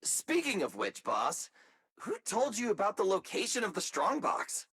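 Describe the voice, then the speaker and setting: A woman voicing an easygoing, eager crew member in an anime-style game, informal and exclamatory, with a rough voice. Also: medium pitch, huge pitch swings, moderate pace, fairly bright tone, no echo, exaggerated voice. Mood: curious.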